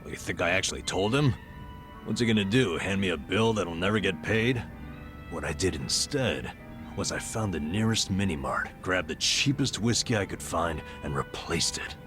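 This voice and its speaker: A man's voice: low-pitched, expressive, normal speed, music in the background. An actor playing a stressed, boastful middle-aged vagabond in a video game cutscene, telling his tales dramatically and colloquially. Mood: defiant confession.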